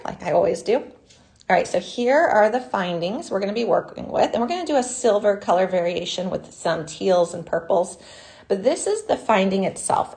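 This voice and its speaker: A woman's voice: medium pitch, a little mellow. Sniffly woman hosting a live craft stream. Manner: chatty, greets viewers by name.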